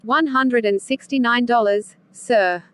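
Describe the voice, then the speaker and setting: A woman's voice: a little high, a little slow, a bit bright, no room sound. Woman reading a cashier's lines, dry recording. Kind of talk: scripted dialogue example.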